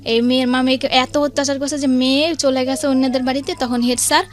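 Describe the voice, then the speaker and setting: High-pitched Bangladeshi woman recounting events. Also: steady tone, quick pace. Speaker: guest recounting a family incident. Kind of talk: spontaneous personal account.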